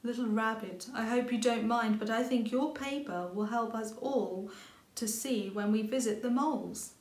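A woman voicing a kindly, calm, helpful old grandfather rabbit in a storybook reading. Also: on the high side, normal speed, close to an everyday voice. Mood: encouraging. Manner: calm, encouraging. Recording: roomy home recording.

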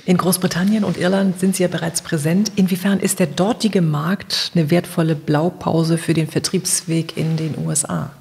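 A woman's voice: lowish voice, steady tone, moderate pace, creaky, hardly any pauses, darkish tone. A woman hosting a podcast, putting polite, structured questions to her guests in an interview style.

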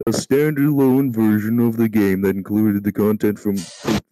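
A man's voice: fairly low pitch, fairly even intonation, normal speed, warm tone, no room sound, faint music behind, low-quality recording. A man reading an encyclopedia article aloud, stumbling over words and swearing casually at his slips. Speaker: amateur reader in a group voice call.

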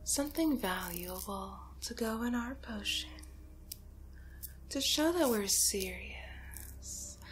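Young woman as vengeful witch, ASMR roleplay. Breathy whispers, deliberate and drawn-out delivery, noticeable noise behind the voice. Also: medium pitch. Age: young adult. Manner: slow, deliberate, soothing yet menacing. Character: sly, vengeful young witch brewing a hex. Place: small bedroom, indoors.

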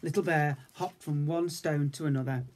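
A man's voice: on the high side, fairly even intonation, moderate pace, a little mellow. A man in his fifties with an English accent, a teacher reading a story to young children. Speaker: infant school teacher. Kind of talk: children's story read-aloud. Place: indoors, room at home.

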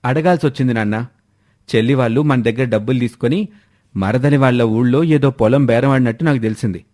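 Cartoon eldest son voiced by a man: resentful, demanding, quick-talking, pushing for answers. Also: mid-range voice, a little mellow, close to an everyday voice. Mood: confronting. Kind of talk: animated moral-story character voice.

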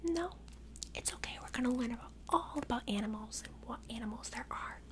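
A young woman in an ASMR roleplay as a childcare worker, talking gently and simply to a small child with lots of questions. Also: on the high side, brisk. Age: young adult. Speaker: ASMR creator playing a preschool childcare worker.

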